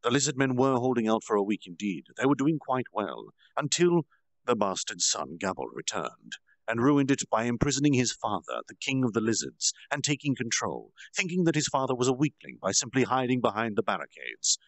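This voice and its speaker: A man's voice: mid-range voice, big pitch swings, a little fast, dry recording, poor audio. A man casually recapping a fantasy novel as a storyteller, dramatic with asides and self-corrections. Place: virtual reality social space.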